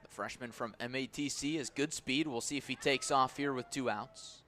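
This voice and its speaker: A man calling baseball play-by-play on the radio, measured between pitches and swelling into excited calls on plays. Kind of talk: live radio sports commentary.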